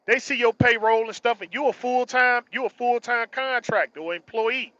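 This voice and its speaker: Man, early 40s, high bright voice, slangy and emphatic vlog monologue that circles back on phrases; dry, muffled lo-fi sound. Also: normal speed, no echo. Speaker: truck driver vlogger. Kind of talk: casual motivational monologue.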